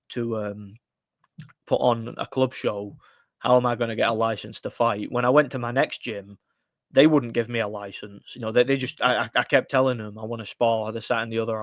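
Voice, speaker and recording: A man's voice: mid-range voice, no room sound. A man in his thirties talking boxing on a casual live stream, rambling with many restarts and giving blunt, frustrated opinions. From United Kingdom. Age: 30s. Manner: rambling, frequent restarts and fillers. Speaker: livestream host.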